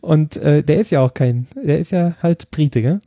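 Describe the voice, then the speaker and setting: A man's voice: a little high, normal speed, warm tone, no room sound. A man chatting casually about films with friends, talking in a loose, hesitant way with many fillers and small laughs. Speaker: podcast co-host.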